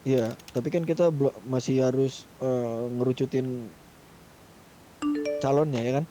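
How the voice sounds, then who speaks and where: A man's voice: mid-range voice, fairly even intonation, unhurried, mellow, soft background music. A young man with a colloquial Jakarta Indonesian accent, chatting casually with a group in an online game. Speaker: GTA roleplay gamer in a group meeting. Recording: muddy gaming mic with keyboard noise.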